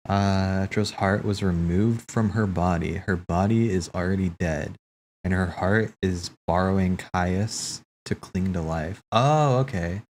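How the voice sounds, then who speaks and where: A man's voice: low voice, measured pace, darkish tone, slight background noise. A man streaming a reaction to game cutscenes, chatting casually and thinking aloud with lots of fillers and restarts. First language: English. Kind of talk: casual livestream chat.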